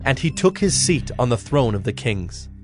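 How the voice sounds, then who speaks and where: A man's voice: medium pitch, very animated, moderate pace. Solemn male scripture narrator over faint music.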